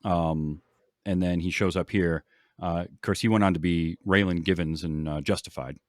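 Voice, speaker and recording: Low-voiced man in dry, casual film talk. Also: fairly even intonation, moderate pace, a little mellow, no room sound. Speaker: podcast host.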